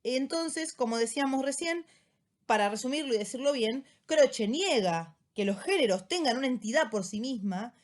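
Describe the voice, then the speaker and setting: A woman teacher with an Argentine accent, explaining a text in a relaxed lecture style and often checking in with short tag questions. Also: high voice, normal speed. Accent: Argentine Spanish. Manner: casual rioplatense slang. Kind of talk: recorded lecture summary.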